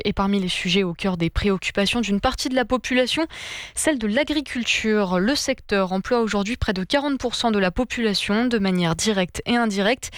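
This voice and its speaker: A woman anchoring a radio news bulletin, reading in a measured, crisp way. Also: medium pitch, no echo, clean studio sound.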